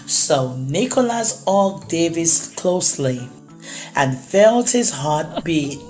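A woman reading a children's book aloud as a storyteller, expressively and with deliberate, dramatic pauses. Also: deep voice, expressive, darkish tone, soft background music.

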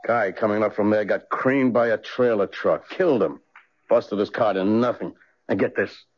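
An actor playing a weary, matter-of-fact police officer, talking in clipped colloquial phrases in a radio drama. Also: male voice, fairly low pitch, moderate pace, natural voice. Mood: offhand, musing. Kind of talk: 1970s radio drama acting. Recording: dull old radio recording.

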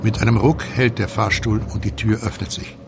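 A man reading scripted audio drama narration in a measured, suspenseful way. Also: fairly low pitch, steady tone, gravelly, background noise.

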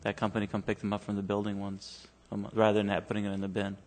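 A man's voice: lowish voice, monotone, racing. A man on a city council arguing his point in a meeting, hesitant and plainspoken, often repeating words, in a council chamber.

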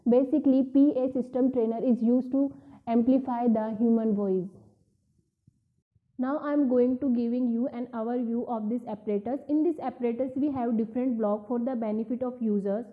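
A woman's voice: on the high side, fairly even intonation, moderate pace, narrow, tinny audio. A woman in her twenties with an Indian accent, presenting an instructional demonstration plainly and step by step. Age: 20s. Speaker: product demonstrator. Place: office showroom with shelves, indoors. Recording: roomy, echoing indoor recording.

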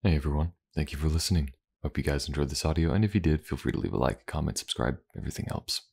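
A man's voice: a bass voice, fast, creaky, a little mellow, breathy edge. A man who hosts an audio channel, giving a scripted thank-you outro. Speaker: voice actor and channel host. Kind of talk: scripted outro, thanking listeners and reading names.

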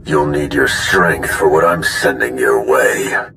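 A man's voice: very deep voice, slow, vocal fry, sharp tone, slight background noise, heavily acted. An actor playing an arrogant, cruel villain in a video game, haughty and menacing in his delivery. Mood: contemptuous, menacing. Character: arrogant, cruel male villain tinkering with droids. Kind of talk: video game dramatic acting.

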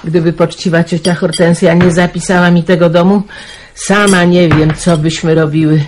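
An actress playing a careworn, kindly middle-aged aunt in a radio play, homely and chatty, with sighs. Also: female voice, lowish voice, level tone, moderate pace, lightly acted. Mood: resigned, weary. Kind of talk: 1990s Polish radio play acting. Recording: noisy radio drama recording with clicks.

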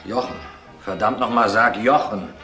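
An actor playing a pushy, boozy junior boss making crude, insistent advances in a crime radio drama. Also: male voice, fairly low pitch, moderate pace. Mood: coaxing, insistent. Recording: echoey, with loud music over it.

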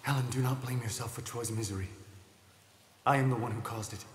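An actor playing an earnest, proud young shepherd prince in a video game. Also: male voice, mid-range voice, fairly even intonation, brisk, lightly acted. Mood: resolute. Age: young adult. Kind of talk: dramatic video game acting. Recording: echoey, reverberant, faint noise.

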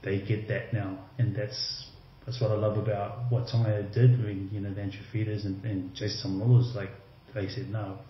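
A man's voice: fairly low pitch, flat delivery, a little fast, few pauses, a little hiss or hum. A man, a former rugby league player, talking casually as a podcast guest and leaning on "you know" and "like". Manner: loose and conversational, many fillers like "you know" and "like". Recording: roomy, slightly dull remote audio.

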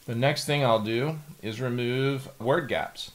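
A middle-aged man, explaining software step by step in a casual, plain tutorial style. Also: mid-range voice, takes it slowly. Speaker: tutorial host. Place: indoor home office.

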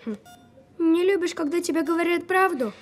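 A woman voicing a skeptical, sharp-tongued sick young boy in an audio drama, precocious and needling. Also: very high voice, moderate pace, soft background music.

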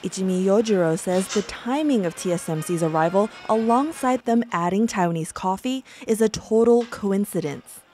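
Mellow-voiced woman reading a news report. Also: medium pitch, moderate pace, few pauses, faint music behind. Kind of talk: scripted news narration. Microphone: studio voice-over mic.

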